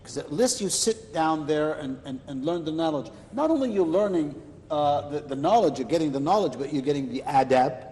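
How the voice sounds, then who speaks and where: A man hosting a live religious discussion panel, speaking in a measured way and slowing down to stress his points, with Arabic phrases mixed in. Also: a little high, lively intonation, mellow, long reverb tail.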